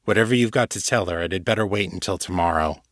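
Narrator guy as tired protective dude, flat n dry humored, kinda low voice, audiobook. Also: quick pace, no room sound. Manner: low-key, flat, weary. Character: long-suffering, protective, dry-humored male incubus. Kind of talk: audiobook character acting.

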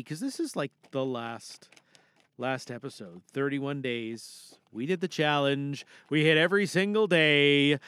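A man's voice: on the high side, fairly bright tone, clean tone, dry recording. A man hosting a podcast in a casual, playful, rambling way, close on the mic in a booth. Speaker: podcast host and monologue performer. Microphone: close mic in a recording booth.

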